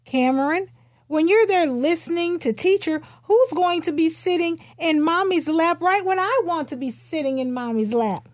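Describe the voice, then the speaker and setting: Woman reading as high-voiced fretful storybook relative. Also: moderate pace, no echo, clean studio sound. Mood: worried, pleading. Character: fretful storybook family and neighbours questioning a little girl.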